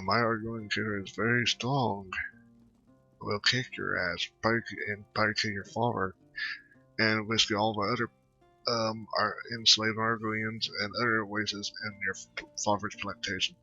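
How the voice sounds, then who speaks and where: Male gamer, lowish pitch, extremely sharp bright tone, casual blunt commentary, faint game music. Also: fairly even intonation, normal speed, dull audio. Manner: blunt, talks back to game characters. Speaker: gaming video host, player.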